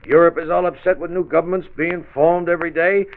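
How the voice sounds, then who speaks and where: A man's voice: fairly high pitch, fairly even intonation, a little fast, close to an everyday voice. An actor playing a skeptical, grumbling middle-aged American husband and father in a 1940s radio drama, folksy and dismissive. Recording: muffled 1940s radio transcription disc.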